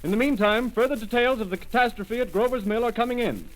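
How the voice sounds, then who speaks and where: An actor playing a radio announcer reading urgent news bulletins in a crisp manner, in a 1930s radio drama. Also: male voice, very high-pitched, lively intonation, fast. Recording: scratchy 1930s radio recording.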